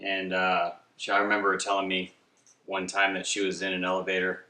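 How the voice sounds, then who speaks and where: A man in his thirties chatting casually and haltingly as a good-humoured, teasing vlogging dad. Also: low voice, monotone, bright, forceful tone. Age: 30s. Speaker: vlogger father. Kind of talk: casual couple Q&A chat. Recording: roomy indoor echo.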